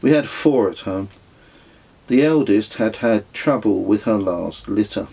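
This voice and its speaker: A middle-aged man narrates a travel memoir he wrote himself. His voice is low and husky, and he reads very slowly, phrase by phrase. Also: animated, dark tone. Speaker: author reading own book.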